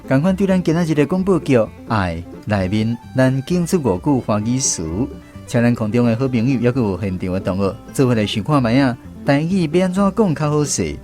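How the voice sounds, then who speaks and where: A man's voice: medium pitch, animated, brisk, mellow, faint music behind. A man with a Taiwanese accent hosting an educational language quiz on the radio. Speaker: radio host of a language segment.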